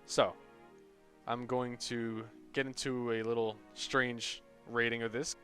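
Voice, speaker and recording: A man's voice: mid-range voice, level tone, slow pace, a bit bright. A young American man giving a casual, sarcastic, profane comedic rant as a video creator. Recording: voice over background music.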